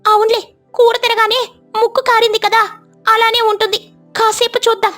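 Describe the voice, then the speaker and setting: A woman acts out a friendly female parrot from a cartoon bird fable. Her voice is squeakily high and excitable, full of gushing exclamations, with soft music underneath. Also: fairly quick. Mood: amazed, delighted.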